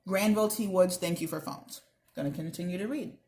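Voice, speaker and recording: A woman's voice: on the low side, a little fast, a little mellow. A young woman reading a children's book aloud, expressively, with chatty asides to her listeners. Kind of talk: read-aloud narration with casual asides.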